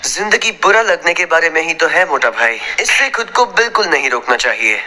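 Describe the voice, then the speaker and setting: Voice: mid-range voice, lively intonation, moderate pace, bright, forceful tone. An actor playing a cocky, boastful schoolboy racer in a cartoon, with a brash, showy delivery. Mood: reassuring. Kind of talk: Hindi cartoon dub acting.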